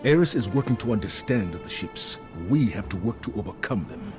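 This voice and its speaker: An actor playing a solemn, resolute military commander in a video game, speaking in a grave, measured, rousing way. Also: male voice, on the low side, expressive, lightly acted. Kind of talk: video game character acting. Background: music behind the voice.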